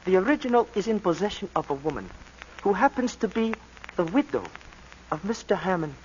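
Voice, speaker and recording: An actor playing a polite, sly male law clerk who is deferential and measured, in 1940s radio acting. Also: high voice, lively intonation, slight background noise. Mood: insinuating. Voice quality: deferential, measured, sir-laden. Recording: muffled 1940s radio broadcast.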